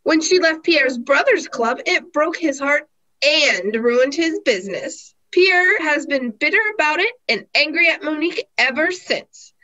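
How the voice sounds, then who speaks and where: A woman's voice: high-pitched, lively intonation, unhurried, bright, forceful tone, exaggerated voice, low-quality recording. A woman playing a flustered, defensive nightclub singer with secrets in a comic radio mystery. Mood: indignant, defensive.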